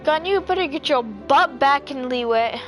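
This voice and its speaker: A woman's voice: sits high, expressive, music in the background. A woman casually reacting to a video game, playful and teasing. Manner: playful, teasing, drawn-out talk. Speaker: gaming streamer. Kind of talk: casual reacting over gameplay.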